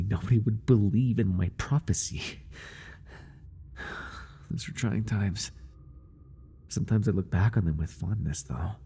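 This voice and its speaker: A man playing an obsessive, jealous high priest in an ASMR roleplay, fawning over his god in grandiose, stammering, pleading speech. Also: mellow, slight background noise. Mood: reverent, wistful. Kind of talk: ASMR roleplay monologue, dramatic acting.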